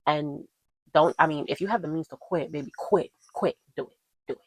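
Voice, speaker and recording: A woman's voice: sits low, fairly quick, slightly rough, no room sound. A woman in her twenties talking casually to her viewers as a vlogger, encouraging and emphatic, repeating her points for stress. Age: 20s. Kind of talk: casual chat to camera.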